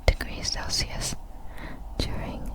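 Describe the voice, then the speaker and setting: Slow, whispering woman doing ASMR. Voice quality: breathy unvoiced whisper. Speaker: ASMR creator. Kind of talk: whispered ASMR narration of facts.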